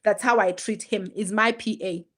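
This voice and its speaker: A woman's voice: mid-range voice, steady tone, normal speed. A woman, hosting a casual livestream and talking straight to her viewers in an emphatic, chatty way. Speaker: livestream host.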